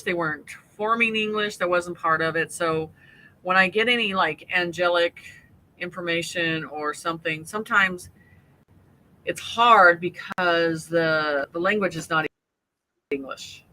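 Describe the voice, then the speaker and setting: A woman guest on a casual spiritual chat show, explaining things in a relaxed, rambling way full of fillers. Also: lowish voice, rough recording.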